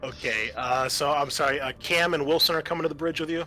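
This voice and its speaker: A man's voice: a little high, quick pace, bright, forceful tone. A man narrating a tabletop roleplaying game as game master, mixing description with informal table talk.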